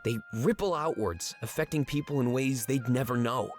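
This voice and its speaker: An actor voicing an earnest, slightly nervous furry swindler-writer who confides hesitantly in a visual novel game. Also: male voice, medium pitch, moderate pace, faint music behind, lightly acted. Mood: confiding, sincere.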